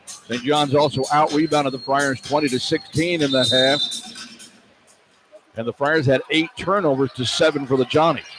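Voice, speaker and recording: A man's voice: medium pitch, fairly even intonation, moderate pace, very noisy. A man working as a play-by-play announcer, giving live radio commentary on a basketball game. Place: indoor basketball arena.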